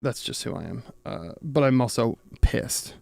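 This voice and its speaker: Male streamer, mid-pitched with plenty of vocal fry; rambling, sweary, off-the-cuff repair chat. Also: lively intonation, moderate pace, darkish tone, dry recording, studio quality. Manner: rambling, self-interrupting, swears casually; thinks aloud. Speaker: streamer and audio tinkerer. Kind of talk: casual livestream chat while repairing gear.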